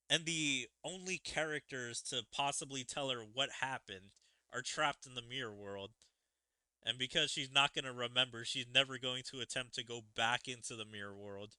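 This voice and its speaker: A man's voice: mid-range voice, moderate pace, pushed and bright, smooth, no echo. A man giving casual commentary as the host of a gaming video.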